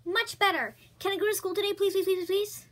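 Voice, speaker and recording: A woman's voice: very high voice, fairly quick, pitched above the performer's own voice. A woman voicing a whiny schoolgirl who begs to go to school, then schemes and fakes a cough to stay home, in a comedy skit. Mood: eager. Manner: pleading, excitable, theatrical fake coughing. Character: whiny schoolgirl pleading, then faking sick.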